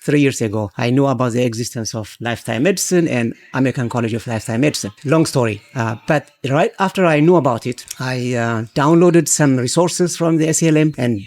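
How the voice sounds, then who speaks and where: Man, mid-pitched, hesitant, frequent fillers, podcast guest at a conference. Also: fairly quick, hardly any pauses, a little mellow. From Ethiopia. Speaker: guest physician. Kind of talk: podcast interview. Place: conference venue.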